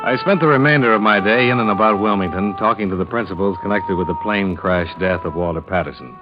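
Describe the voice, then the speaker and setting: An actor playing a brisk, skeptical American insurance investigator in 1950s radio detective style, terse and businesslike. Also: male voice, fairly low pitch, close to an everyday voice. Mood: dry, businesslike. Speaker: lead actor and narrator of radio drama. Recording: muffled old radio recording, music behind.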